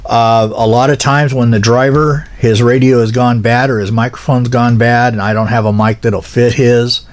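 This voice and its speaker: A man's voice: mid-range voice, steady tone, moderate pace, few pauses. Plain-spoken man giving an informal gear explainer. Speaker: instructor, pilot car operator.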